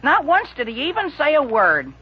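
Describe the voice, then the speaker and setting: A man's voice: very high-pitched, wildly swooping pitch, normal speed, heavily acted. A man playing an earnest, apologetic teenage boy with a youthful, cracking voice in a 1940s radio comedy. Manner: earnest, exclaiming. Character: eager, apologetic teenage boy, the hapless son. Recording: dull, noisy 1940s radio recording.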